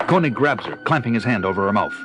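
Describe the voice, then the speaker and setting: Male drama narrator over loud music. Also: lowish voice, animated, quick pace. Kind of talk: scripted dramatic audio narration.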